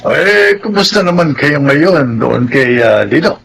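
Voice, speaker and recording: An actor playing a kindly old grandfather who gives gentle advice in a Tagalog radio drama, with a gravelly voice. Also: a little high, animated, unhurried, heavily acted. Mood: kindly, approving.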